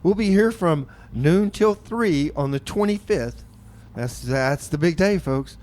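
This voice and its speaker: A man's voice: on the high side, animated, measured pace, a little mellow. A man hosting a community radio show from the studio, reading announcements and chatting in a laid-back way, calling his listeners folks. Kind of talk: casual radio banter and announcements.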